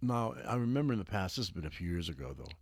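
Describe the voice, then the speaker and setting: A man's voice: sits low, sing-song, very fast. A man hosting a casual radio show, joking, laughing easily and asking his guests questions. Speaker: radio host.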